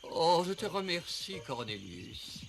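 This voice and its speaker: A man's voice: a little high, very animated, slow, gravelly, loud music behind the voice, exaggerated voice. A man voicing a timid, fussy, deferential elephant courtier with a hesitant, plaintive delivery in a French cartoon. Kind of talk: French-dubbed cartoon acting.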